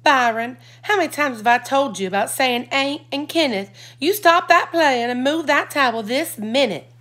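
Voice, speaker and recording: A woman plays a stern, worried mother, scolding sharply with her voice pitched up and raised. The mother in this storybook reading has little patience for nonsense. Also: lively intonation, moderate pace, fairly bright tone.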